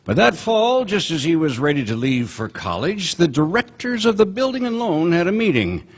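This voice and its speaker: A man's voice: a little high, very animated, normal speed. A man narrating in a live radio drama, explaining the story in a storytelling way. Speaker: narrator speaking as a heavenly guide. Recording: theater stage with long reverb.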